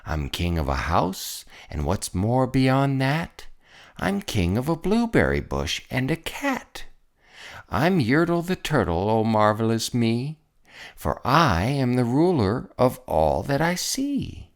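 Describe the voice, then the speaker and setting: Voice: mid-range voice, huge pitch swings, takes it slowly, full character voice. A storyteller voicing a boastful, tyrannical turtle king with a pompous, bellowing, commanding manner for a children's picture book. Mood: gloating.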